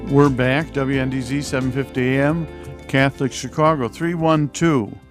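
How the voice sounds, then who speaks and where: A man's voice: medium pitch, fairly even intonation, normal speed, over music. A man hosting a live radio show from a studio, announcing and asking conversational questions. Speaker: radio host.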